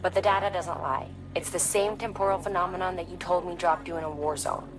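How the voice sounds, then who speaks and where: An actress playing a calm, enigmatic scientist in a game, speaking in a measured, solemn way. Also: female voice, on the low side, over music, natural voice. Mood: grave, concerned. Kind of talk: video game dialogue.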